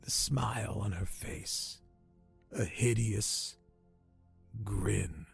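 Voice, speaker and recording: A dying old castaway in a radio play. His voice is low and heavily gravelly, and he recounts horrors in weary, haunted, very slow and halting phrases, over music. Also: full character voice. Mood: haunted, horrified. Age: older. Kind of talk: radio drama storytelling.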